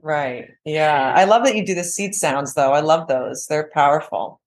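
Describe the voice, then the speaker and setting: A woman with a raspy voice, talking casually and eagerly about teaching yoga on a podcast. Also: sits low, normal speed. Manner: enthusiastic, many "like" fillers.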